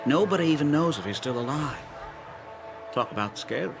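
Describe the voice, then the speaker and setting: Sly, wry rumor-monger. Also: male voice, a little high, animated, brisk, creaky. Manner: knowing, wry. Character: sly male city official trading rumors. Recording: game cutscene over loud music.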